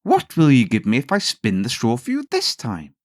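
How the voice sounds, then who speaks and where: A man voicing a comical, gloating, quick-tempered little goblin man in a storybook tale, with theatrical, taunting delivery. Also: mid-range voice, wildly swooping pitch, no echo, clean studio sound, voice pushed up. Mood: demanding, sly. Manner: theatrical, exclaiming, drawn-out taunts.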